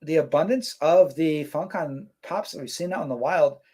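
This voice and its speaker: A man's voice: a little high, normal speed, breathy edge. A man co-hosting a casual live stream, reading out chat comments and rambling with lots of fillers. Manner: relaxed, rambling, many fillers.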